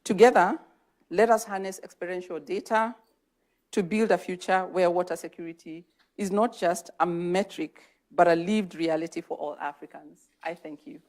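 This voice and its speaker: A woman's voice: fairly low pitch, steady tone, normal speed, a little mellow. A woman giving formal closing remarks at a symposium, in a declamatory manner. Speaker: speaker.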